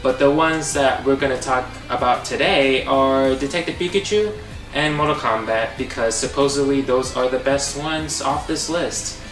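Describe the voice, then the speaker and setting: A man's voice: medium pitch, normal speed, a bit bright. A young man hosting a comedic movie review, sarcastic and exasperated. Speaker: host. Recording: echoey, distant, under music.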